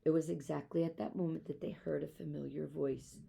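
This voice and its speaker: A woman reading a children's story aloud in an expressive storytelling style. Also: sits low, fairly quick, creaky, husky. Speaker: storybook reader.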